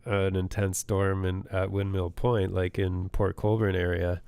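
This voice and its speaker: A man's voice: low voice, flat delivery, measured pace, creaky, a little mellow, smooth, no echo. A man co-hosting a podcast in casual chat, laying out storm figures with many fillers, his voice a bit worn. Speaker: podcast co-host.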